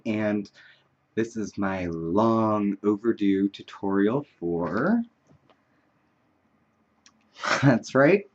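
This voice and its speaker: A man's voice: mid-range voice, darkish tone, highs cut off. A man hosting a makeup tutorial, chatting casually in a wandering, self-deprecating way. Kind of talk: casual rambling tutorial chat.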